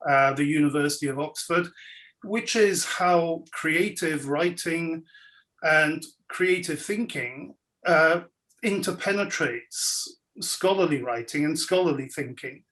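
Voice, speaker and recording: A man who grew up in Belgium, with French as his first language, speaks in a fairly high voice. His talk is wry and measured, and he clears his throat now and then. He is on a video call from his home. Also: a bit bright. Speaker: guest speaker, scholar and writer. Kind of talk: relaxed lecture-style talk. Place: home, indoors.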